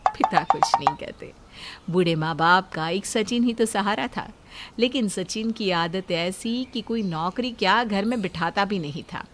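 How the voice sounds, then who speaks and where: A woman's voice: medium pitch, measured pace, background noise. A woman narrating a scripted story in a dramatic storytelling style.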